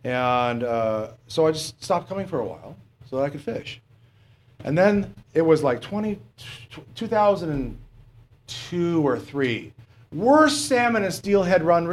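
A middle-aged man preaching a sermon in a plain, halting way, asking rhetorical questions and pausing on fillers. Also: a little high.

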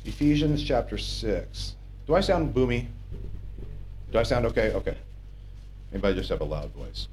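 A man's voice: mid-range voice, animated, normal speed, long pauses, a little mellow, a little hiss or hum, poor audio. A man preaching a sermon from the pulpit of a small church, emphatic and conversational, often checking in with "okay?". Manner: emphatic, rising to insistent peaks, asks the congregation "okay?".

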